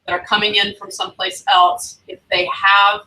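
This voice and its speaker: A woman's voice: mid-range voice, flat delivery, moderate pace, bright, forceful tone, recorded from a distance, a little hiss or hum, poor audio. A woman academic advisor talking casually to new students on a livestream, chatty and encouraging. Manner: chatty, encouraging, loose phrasing.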